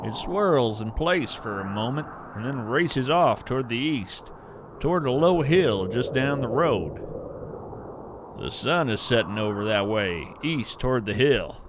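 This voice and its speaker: A man playing an earnest wandering naturalist in scripted audio fiction, narrating in a measured, poetic way with deliberate pauses. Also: medium pitch, lively intonation, background noise, close to an everyday voice. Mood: resigned, observant. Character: earnest, wonder-struck wandering naturalist of hidden creatures. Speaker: podcast host narrator in character.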